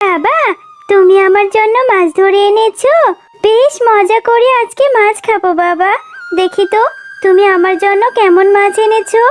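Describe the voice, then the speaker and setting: A woman's voice: extremely high pitch, steady tone, normal speed, soft background music. A woman voicing a little girl fox cub in a children's cartoon, with a childish, insistent way of talking. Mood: eager, pleased.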